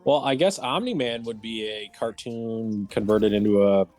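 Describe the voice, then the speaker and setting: A man with a slightly low voice chats casually about video games as a co-host. Soft music runs beneath his talk. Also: moderate pace. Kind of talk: casual gaming chat. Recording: music playing underneath.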